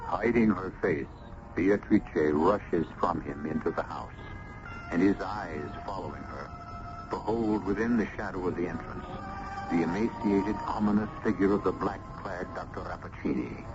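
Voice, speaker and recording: Casting: male host-narrator, very low voice, ominous and measured, muffled vintage radio recording. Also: over music. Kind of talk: dramatic old-time radio narration.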